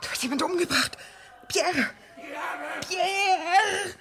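A woman's voice: extremely high pitch, slow pace, a crowd in the background. A woman playing an alarmed, bossy wife in a German radio play, urgent and agitated, as if woken at night. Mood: frightened, urgent. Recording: noisy old radio play recording.

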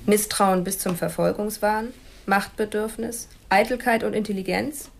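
An actress playing an analytical police profiler in a radio drama, speaking in a measured, formal, clinical way. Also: female voice, medium pitch, fairly even intonation, vocal fry. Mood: clinical, assured. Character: analytical police profiler presenting a personality assessment. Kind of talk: German radio drama acting.

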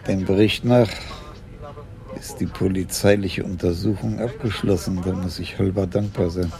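A man's voice: sits low, fairly even intonation, normal speed, warm tone. A man acting a mild, wry older police commissioner talking with his wife in a German radio drama.

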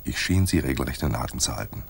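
Private detective, low register, cool, laconic, wry. Hardboiled radio drama. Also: male voice, lively intonation, fast, gravelly, husky, heavily acted. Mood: dry, wry narration. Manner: laconic, sardonic. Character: wry, cool male private detective narrating his case. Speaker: narrator and lead actor in a radio play.

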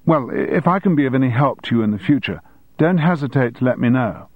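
A man's voice: medium pitch, lively intonation, quick pace, no room sound, narrow, tinny audio, voice pushed up, close to an everyday voice. A man voicing a pompous teenage head boy with an exaggeratedly proper, plummy British accent in an audiobook. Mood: condescending. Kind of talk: audiobook character dialogue.

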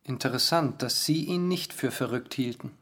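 A man's voice: mid-range voice, normal speed, fairly bright tone. A man playing a probing investigative journalist in a radio drama, matter-of-fact in delivery.

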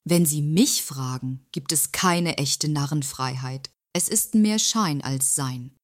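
A woman playing a courteous, deferential executive secretary with quiet pride, in a satirical radio play. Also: low voice, slow. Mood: wry. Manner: deferential, soothing, politely knowing. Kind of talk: German radio play acting.

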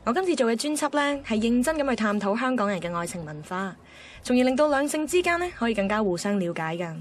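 An actress playing a driven, blunt young radio assistant with a Hong Kong Cantonese accent, in a radio drama. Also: female voice, a little high, sing-song, fast talker. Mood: probing, teasing. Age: young adult. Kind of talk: radio drama narration and banter.

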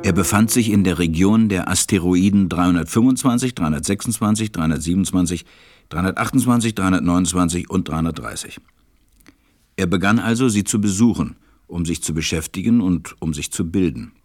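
A man playing a gentle, reflective storyteller, narrating a storybook radio play in a measured way. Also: low voice.

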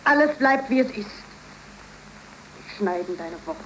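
In a 1930s German radio play, an actress plays a loving, distressed young sweetheart, earnest and theatrical. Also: female voice, medium pitch, animated, a little slow, fairly bright tone. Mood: tender, pleading. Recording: faintly noisy 1930s radio recording.

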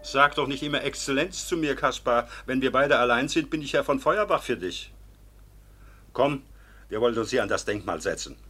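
A man's voice: mid-range voice, expressive, normal speed, bright, forceful tone, slight background noise. An actor playing a kind, fatherly older nobleman and judge in a radio drama, speaking patiently and reassuringly. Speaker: legal official and guardian.

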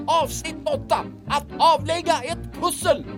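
A woman's voice: high-pitched, music in the background. A woman narrating a scripted recap as the announcer of a drama serial.

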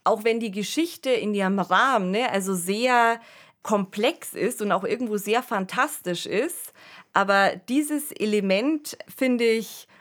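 Female podcast host, medium-pitched, conversational and reflective. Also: measured pace. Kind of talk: conversational discussion of a radio play.

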